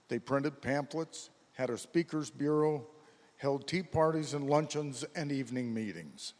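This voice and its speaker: Male stage narrator, formal and measured, average-pitched voice carrying through an echoing hall. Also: a little mellow. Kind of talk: scripted readers theater narration. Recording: reverberant hall sound.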